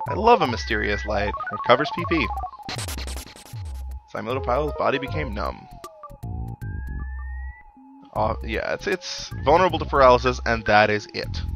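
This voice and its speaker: Guy doing a laid back let's play commentary, kinda wry, game music going behind him. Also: lowish voice. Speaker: video game let's player. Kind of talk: casual gameplay commentary.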